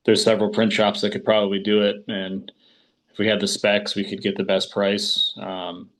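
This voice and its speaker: A man's voice: fairly low pitch, completely flat delivery, moderate pace, fairly bright tone. A man taking part in a casual work conversation, asking questions and musing.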